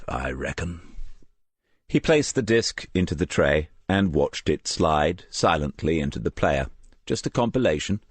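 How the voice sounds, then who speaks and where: Very deep-voiced male narrator. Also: dry recording. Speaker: audiobook narrator. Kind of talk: scripted crime novel narration.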